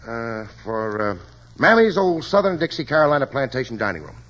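An actor playing a hard-up stage actor in a 1940s radio drama, talking bashfully with stammers and hesitations. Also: male voice, medium pitch, sing-song, brisk, a bit bright. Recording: dull lo-fi old radio recording.

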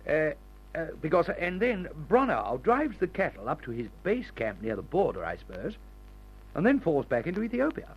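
An actor playing a fussy, anxious young airman, worried and excitable, in an old radio adventure serial. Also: on the high side, normal speed, breathy edge, dull audio, full character voice. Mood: hesitant. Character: anxious, fussy, well-bred young airman, easily alarmed.

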